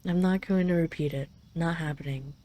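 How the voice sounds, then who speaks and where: A haughty female dragon queen, played by a woman in an intimate audio roleplay. Her voice sits fairly low, with a very mellow, relaxed tone. She speaks imperiously and teasingly to her captive, possessive yet fond. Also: a little fast, lightly acted. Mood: tender, stubborn. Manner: imperious, teasing, intimate, slightly flustered when admitting affection. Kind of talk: roleplay audio drama addressed to the listener.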